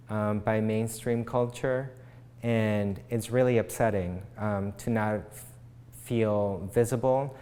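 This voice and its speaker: A young man from Chile, a playwright, speaking reflectively in a documentary interview with measured pauses. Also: on the low side, a little mellow. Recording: long hall reverb, faint background hum.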